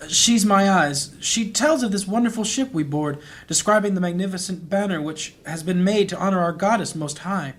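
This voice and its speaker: A man playing a principled, dryly disapproving priest in a fantasy audio drama, speaking in a measured, formal way. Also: sits high. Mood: pleading, formal.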